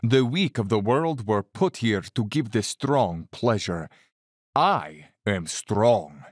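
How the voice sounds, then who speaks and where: An aristocratic Cossack general in an audio drama, a hunter with no conscience. He is smooth-mannered and condescending, never hurried. His pitch sweeps theatrically in a dry, close recording. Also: expressive, dry recording. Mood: haughty, philosophizing. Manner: urbane, bland, condescending, theatrically unhurried. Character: suave, cold-blooded aristocratic Cossack general, fanatical big-game hunter.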